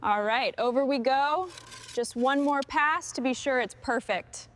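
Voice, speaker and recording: A woman's voice: high voice, unhurried, a bit bright. A woman giving short, procedural work commentary as she restores machinery.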